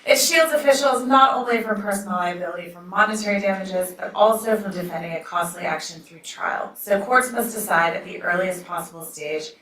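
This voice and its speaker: A soft-spoken woman judge moderating a law school debate, opening with remarks and reading from a ruling, with many ums. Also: mid-range voice, a little fast, few pauses. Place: lecture hall. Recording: echoey hall, mic at a distance.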